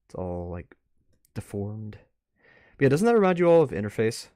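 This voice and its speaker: A man's voice: on the low side, very animated, normal speed, mellow, no echo, studio quality. A man giving casual, off-the-cuff commentary as a stream host, rambling and musing aloud. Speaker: host of a live art review stream.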